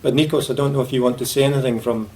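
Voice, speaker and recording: A man on a roundtable panel speaks in a hesitant, measured way, reaching for his words. His voice is gravelly, and his pitch barely moves. Faint background noise runs under his speech. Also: fairly even intonation, a little mellow. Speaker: panelist guiding the discussion. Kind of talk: roundtable discussion. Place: event room.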